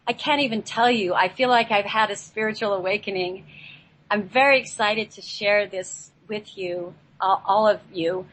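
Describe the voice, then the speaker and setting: A woman with a mid-pitched voice speaks as a spiritual guest on a talk show. She talks unscripted and haltingly, often restarting her phrases. There is noise in the background of the recording. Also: fairly bright tone, low-quality recording. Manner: halting, searching for words, repeats herself.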